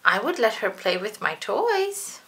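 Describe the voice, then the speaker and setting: A woman's voice: medium pitch, sing-song, measured pace, pushed and bright, a little hiss or hum, exaggerated voice. Woman doing a proud, eager little monster.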